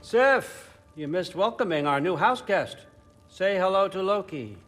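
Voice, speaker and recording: An actor playing a scheming old king of the gods who talks in a smooth, folksy, offhand way. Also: high voice, animated, measured pace, fairly bright tone, soft background music, heavily acted.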